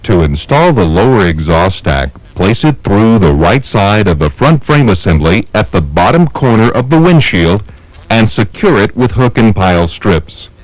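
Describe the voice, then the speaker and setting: A man reading scripted instructional narration for a training film in a measured, methodical manner. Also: lowish voice, big pitch swings, dry recording, low-quality recording. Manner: measured, methodical, step-by-step delivery.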